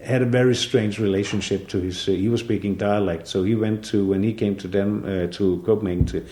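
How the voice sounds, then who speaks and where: A man's voice: fairly low pitch, fairly even intonation, a little fast, mellow. Hesitant older Danish man, echoey room. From southern Jutland, Denmark. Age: 60s. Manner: hesitant, many fillers and restarts. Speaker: artist interviewee. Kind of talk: relaxed conversational interview.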